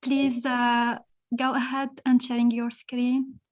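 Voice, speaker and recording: A woman's voice: a little high, little pitch movement, slow pace. A woman hosting an online conference, formally introducing a speaker over a video call. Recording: dull, lo-fi online call audio.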